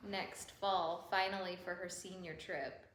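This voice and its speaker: A woman's voice: fairly low pitch, steady tone, measured pace, a bit bright, slight background noise. A middle-aged woman co-hosting a livestream in an upbeat, chatty way. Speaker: co-host. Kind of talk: casual livestream chat. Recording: echoey room.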